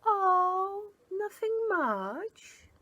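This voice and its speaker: A woman's voice: very high voice, sing-song, very slow, pushed and bright, no echo, lo-fi sound, voice pushed up, exaggerated voice. A woman voicing a small, wild, impatient female storybook creature, indignant and haughty, with emphatic delivery. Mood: feigned innocence.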